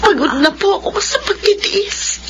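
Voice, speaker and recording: A woman's voice: very high-pitched, expressive, normal speed, muffled recording, background noise. An actress with a Filipino accent playing a hurt, resolute, hardworking wife and mother in a radio drama. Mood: weary, tearful.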